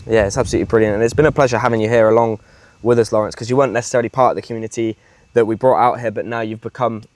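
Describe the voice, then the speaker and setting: A man hosting a casual interview, asking quick, upbeat questions in a villa. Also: fairly low pitch, steady tone, no echo. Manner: upbeat, quick encouraging prompts. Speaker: host and sales mentor. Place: villa, indoors.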